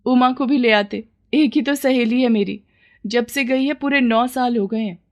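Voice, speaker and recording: Woman as a plaintive, high-voiced poor village mother. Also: measured pace. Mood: warm surprise. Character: poor, humble, careworn village mother, modest and grateful.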